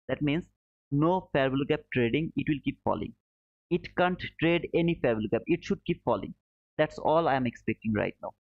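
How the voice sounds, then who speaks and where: A man from Bangladesh, hosting a casual trading livestream, reading viewer chat and calling viewers brother. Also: no room sound. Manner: friendly and chatty, calls viewers brother, says boom. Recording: dull, slightly muffled, dry.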